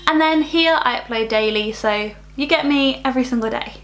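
A woman's voice: a little high, fairly quick, fairly bright tone. A woman in her twenties hosting a reaction channel, chatting casually and upbeat while sick and slightly nasal. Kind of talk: casual music reaction chat. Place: small bright room with plants.

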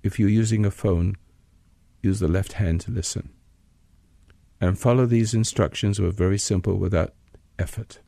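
Man, meditation teacher, heavily creaky low voice, mellow tone, measured instructional delivery, pauses mid-phrase. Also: fairly even intonation, no room sound. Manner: measured, plain-spoken, pausing mid-sentence. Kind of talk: spoken guided meditation, instructional.

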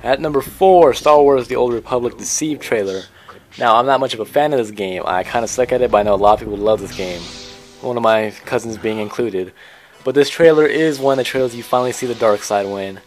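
A man's voice: medium pitch, brisk, faint music behind. A man giving casual, informal and opinionated commentary as the host of a video game countdown.

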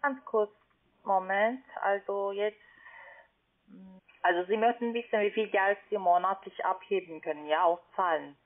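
A call centre agent, a woman speaking with a foreign, non-native accent, halting and searching for words on a phone call. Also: mid-range voice. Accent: foreign, non-native German. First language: not German. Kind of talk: unscripted phone sales call. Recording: narrow tinny phone line.

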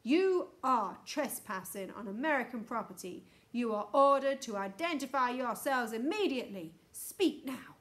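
A woman voicing an angry, urgent Space Control announcer in a children's storybook, booming loudly and commandingly. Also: expressive. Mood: stern, demanding. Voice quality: booming, very loud. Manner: urgent, commanding, drawn-out shouted orders.

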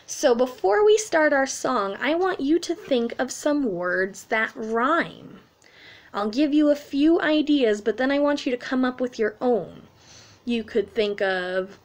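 A woman in her thirties teaches young children in a high voice. She takes her time, explaining simply and with animation, as in a sing-along class recorded in a room at home. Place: small room at home, indoors.

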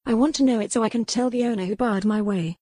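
Woman acting a raised-pitch, headstrong young lady. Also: fast, warm tone, dry recording, studio quality, close to an everyday voice. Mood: angry, demanding. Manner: insistent, commanding, formal. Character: headstrong, imperious young noblewoman traveler. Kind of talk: audiobook character dialogue.

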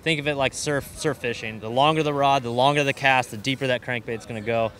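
A man explains his reasoning at an even pace. He is a professional angler answering interview questions. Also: mid-range voice, brisk.

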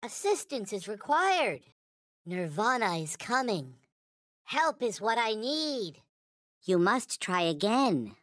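A small toy alien from a video game, speaks in a high voice with very slow, deliberate delivery. The phrasing is stilted and formal, childlike in its earnest, worshipful devotion. The sound is close and dry. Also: male voice, sing-song, fairly bright tone, no echo, exaggerated voice. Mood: earnest, awed. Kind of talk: video game character voice lines.

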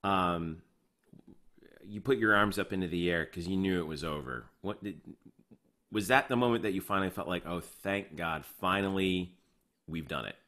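A man's voice: sits low, normal speed, bright, forceful tone. A male reporter putting questions to sports figures at a press conference.

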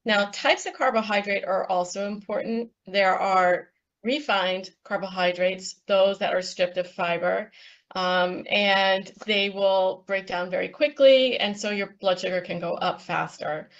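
Woman walking thru slides on a call, steady explainer, kinda muffled lo-fi sound. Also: mid-range voice, a little slow. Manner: explanatory, steady, with frequent ums. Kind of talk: presenting slides in a panel talk.

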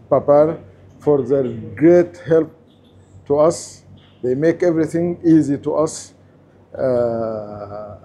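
A man, a surgeon trainee, giving a halting testimonial to camera with many pauses, on a lapel mic in a large training hall. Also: medium pitch, warm tone, a little hiss or hum.

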